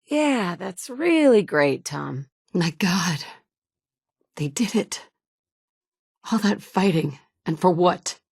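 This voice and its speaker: A blunt, no-nonsense wasteland survivor woman for a video game. Her voice sits low for a woman and is recorded dry and close. She talks in short, matter-of-fact lines. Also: expressive, takes it slowly, gravelly, pauses often, a little mellow, husky, no room sound, heavily acted. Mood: steady. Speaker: player character in a video game.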